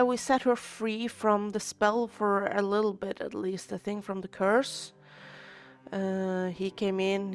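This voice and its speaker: Stuffy-nosed woman streamer talking slowly. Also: mid-range voice, clear, resonant tone. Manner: rambling, chatty. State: stuffy nose from a cold. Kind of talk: casual let's-play commentary. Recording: faint game music behind.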